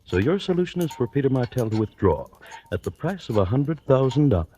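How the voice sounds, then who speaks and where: An actor playing a wealthy, shrewd and wary politician in a 1940s radio drama, speaking in a smooth, formal, measured way. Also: male voice, mid-range voice, very animated, warm tone, lightly acted. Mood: cautious, interested. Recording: noisy, crackly old radio recording.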